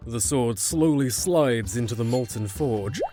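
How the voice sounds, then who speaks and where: A man's voice: mid-range voice, animated, unhurried, mellow. A man narrating a scripted fantasy audio drama in the present tense, as a storyteller. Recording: music under the voice.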